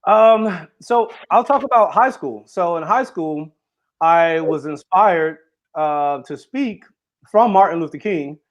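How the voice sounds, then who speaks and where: A man's voice: on the high side, animated, takes it slowly, lo-fi sound. A man giving an earnest interview as a guest author and speaker, running his sentences together with fillers. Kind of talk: casual interview conversation.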